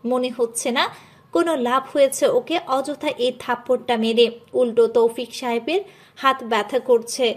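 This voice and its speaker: High-pitched woman narrating a story. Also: steady tone, moderate pace. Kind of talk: scripted narration of a dramatic love story.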